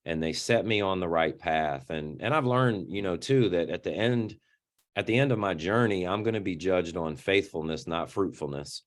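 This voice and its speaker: Man, middle-aged, deep voice, very low pitch, earnest, reflective interview guest, repeats words when starting. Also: moderate pace. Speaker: interview guest, youth organization founder.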